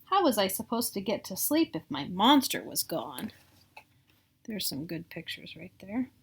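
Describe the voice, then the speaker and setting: A woman's voice: medium pitch, big pitch swings, a little slow, creaky, husky. A middle-aged woman with an American accent reads a picture book aloud, adding casual asides. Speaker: storybook reader. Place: small room at home.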